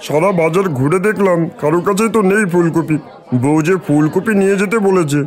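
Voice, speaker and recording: A man's voice: high-pitched, fairly quick, close to an everyday voice. A man voicing an anxious, henpecked shopper in a cartoon, with a pleading, complaining manner. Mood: plaintive. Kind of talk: cartoon voice acting.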